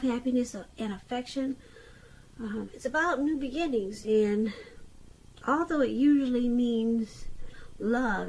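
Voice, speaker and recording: A woman giving an unscripted tarot reading to the viewer, halting as she goes, pausing mid-sentence and repeating phrases. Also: fairly high pitch, darkish tone, low-quality recording. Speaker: tarot reader.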